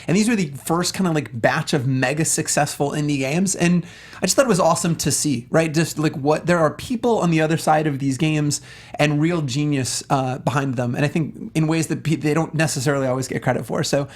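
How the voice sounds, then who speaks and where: A man's voice: fairly high pitch, fairly quick. A man co-hosting a casual film discussion show, speaking with emphatic, earnest enthusiasm. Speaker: talk show co-host.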